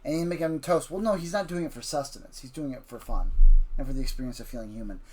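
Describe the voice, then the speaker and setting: A man hosting an improvised story session with a child, wry and gently teasing as he narrates. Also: mid-range voice, fast talker, slight background noise. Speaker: host, parent telling a story with a child.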